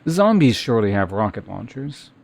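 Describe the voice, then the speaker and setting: A man's voice: on the low side, sing-song, brisk, creaky, darkish tone. Man casually rambling over game music. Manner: rambling, thinking aloud. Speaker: game streamer. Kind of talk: casual gameplay commentary.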